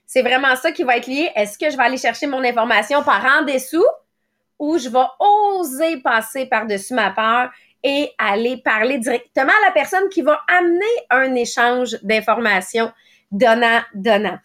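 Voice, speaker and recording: A woman in her late thirties with a Quebec French accent hosts a motivational morning podcast. Her voice sits high, and her talk runs quick and conversational, with little quoted thoughts played out as she goes. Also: a bit bright. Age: late 30s. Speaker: podcast host.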